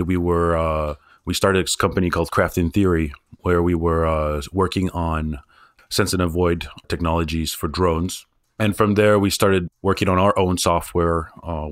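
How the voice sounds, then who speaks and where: A man's voice: sits low, dry recording, studio quality. A man who co-founded a drone startup, talking loosely about his work with frequent "uh"s and restarts. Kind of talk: casual interview talk.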